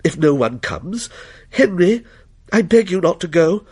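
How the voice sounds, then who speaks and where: Ghost story mother, acted by a man: raised pitch, swooping delivery, anxious, placating, trying to keep control. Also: very animated, unhurried, darkish tone, slightly rough, exaggerated voice. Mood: anxious pleading. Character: anxious wife and mother, placating, self-possessed turning pleading. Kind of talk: dramatic ghost story reading.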